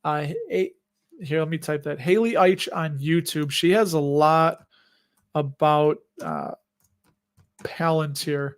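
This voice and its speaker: Chatty Chicago-accented man, fairly high voice. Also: fairly even intonation, slow, clear, resonant tone. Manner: rambling and chatty, with frequent restarts and self-amused laughs. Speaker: host of a dividend investing livestream. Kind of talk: casual livestream chat answering viewer questions.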